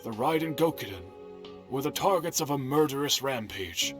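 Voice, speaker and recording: An actor playing a solemn, courteous heir of a swordsmith clan in a video game, telling things in a measured, formal, grave manner. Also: male voice, mid-range voice, expressive, close to an everyday voice. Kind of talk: video game dialogue, dramatic narration. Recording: music playing behind the voice.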